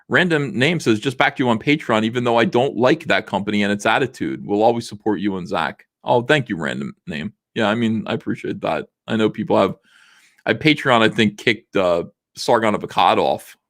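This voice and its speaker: A man in his forties hosting a casual livestream, reading viewer messages aloud, rambling with lots of fillers while his voice is going rough. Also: mid-range voice, fairly bright tone. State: losing his voice. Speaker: livestream host. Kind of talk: casual livestream chat reading super chats.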